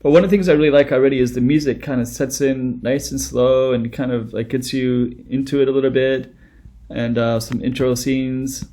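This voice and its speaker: A man in his thirties chatting into a close webcam at home, reacting to a music video. His voice sits at an ordinary middle pitch and his talk wanders, full of "um", "like" and false starts. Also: steady tone, normal speed, dark tone. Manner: rambling, with many fillers and restarts. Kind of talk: casual reaction commentary.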